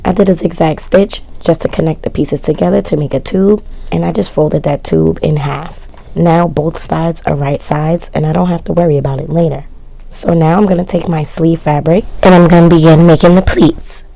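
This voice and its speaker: A woman talks through her sewing project in a casual voice-over, low and mellow, with a gravelly fry. Her voice is worn and cracks from constant yelling, and she keeps tossing in self-mocking, shrugging asides. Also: fairly even intonation, moderate pace. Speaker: sewing vlogger. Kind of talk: casual DIY voice-over narration.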